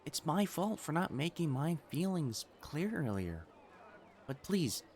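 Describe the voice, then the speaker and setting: A man's voice: medium pitch, slight background noise. A man playing a prim young matchmaker fiancé in a romantic audio roleplay, formal and earnest in speech, easily flustered. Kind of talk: intimate scripted romance roleplay acting.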